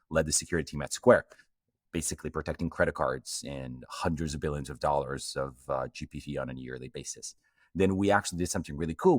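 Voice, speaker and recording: A man's voice: rumbling low, no echo, clean studio sound. A middle-aged man from Portugal, a guest in an interview, telling his story fluently with some fillers. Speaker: guest, company co-founder. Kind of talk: conversational interview answers.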